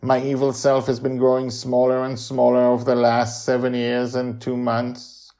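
Man doing a stiff, raised-pitch earnest robot. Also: completely flat delivery, gravelly, mellow, natural voice. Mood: earnest, measured. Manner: stiff, precise, measured phrasing. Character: formal, earnest, gentle machine companion made of circuits. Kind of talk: acted storytelling dialogue.

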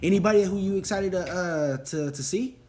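A man's voice: high-pitched, fairly quick, a little mellow, a little hiss or hum. A young adult man interviewing a boxer in a laid-back, agreeable, slangy way. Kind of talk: casual interview talk.

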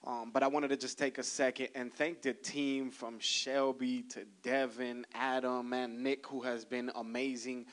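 A man's voice: medium pitch, level tone, measured pace, hardly any pauses. A male guest pastor, talkative and joking, opening his sermon to the congregation. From Cuban heritage. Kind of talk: sermon opening, casual and chatty.

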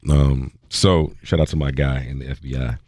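A man's voice: rumbling low, steady tone, normal speed, a little mellow, dry recording, studio quality. A man hosting a podcast, telling stories in a laid-back, joking way. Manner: laid-back, jokey storytelling. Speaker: podcast host. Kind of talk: casual conversation.

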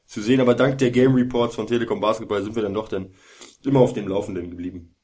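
A man co-hosting a sports podcast, recapping games in a halting way with many filler sounds. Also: on the low side, fairly even intonation, darkish tone, slightly rough. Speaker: podcast co-host.

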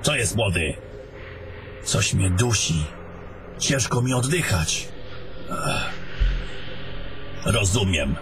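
A fantasy adventurer, acted by a man, with a fairly low voice and slow delivery. He is a gruff traveller in an audio drama, with clearly audible noisy ambience around him. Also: animated, exaggerated voice. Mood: uneasy.

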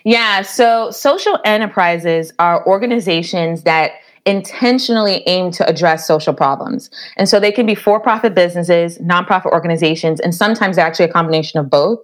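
A woman talks as a guest on an interview podcast, her voice sitting a little low. She tells her story in a lively, talkative way and laughs easily between thoughts. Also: brisk, hardly any pauses. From the Bronx, New York. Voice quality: laughs often, animated storytelling. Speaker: guest, professor. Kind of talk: conversational interview.

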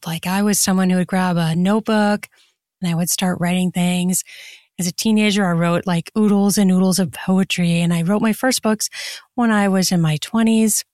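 A woman's voice: mid-range voice, a little mellow, no room sound, studio quality. A woman chatting casually as an interview guest about her own work. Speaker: podcast guest, erotica author.

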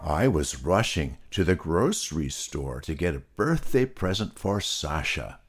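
A man's voice: very deep voice, very animated, measured pace, slightly rough, faint music behind, exaggerated voice. A man voicing a reckless young male pig who whines and pleads in a raised voice. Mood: hurried. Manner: whiny, pleading.

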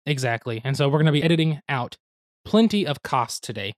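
A man from Oklahoma whose voice is a little hoarse from allergies, rambling along easily in casual podcast chat. He sits close to the mic in a dry room with no echo, his voice at an ordinary pitch. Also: expressive, fairly quick. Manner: rambling, easygoing. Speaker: podcast co-host.

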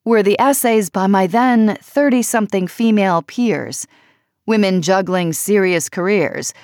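A woman reading a personal essay as audiobook narration, in measured, expressive phrasing. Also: mid-range voice, no room sound, studio quality.

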